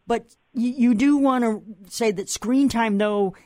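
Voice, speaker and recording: A man's voice: very high voice, a little slow, darkish tone, no room sound. An older man with a Massachusetts accent hosting an interview, talking in a chatty, rambling way with restarts and fillers. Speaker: host. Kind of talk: radio or podcast interview.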